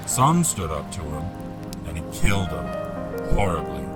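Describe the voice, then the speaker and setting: A man playing a gruff, kindly, grieving and protective giant with folksy, rough grammar in an audiobook drama. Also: extremely low pitch, sing-song, moderate pace, loud music behind the voice, pitched below the performer's own voice. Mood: grave.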